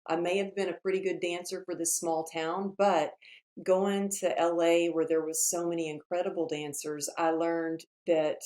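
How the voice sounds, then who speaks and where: A woman from Texas with a Texan accent, telling her story as a guest in an earnest way with thoughtful pauses. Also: lowish voice, little pitch movement, a little mellow. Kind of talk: storytelling interview.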